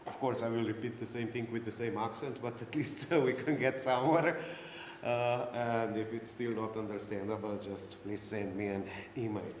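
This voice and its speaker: A man's voice: mid-range voice, fairly even intonation, moderate pace. A middle-aged man with a Slovenian accent gives a technical talk at a conference, speaking English as a second language with dry self-deprecating jokes and many fillers. His voice echoes around a large hall with a long reverb tail. A faint hiss of room noise sits underneath.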